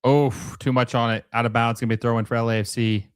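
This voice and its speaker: A man's voice: mid-range voice, flat delivery, fast, smooth, dry recording. Man casually calling soccer, close dry sound. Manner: casual fan-like reactions in short, clipped play-by-play phrases. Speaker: host of a live soccer watch-along. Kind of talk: casual live match commentary.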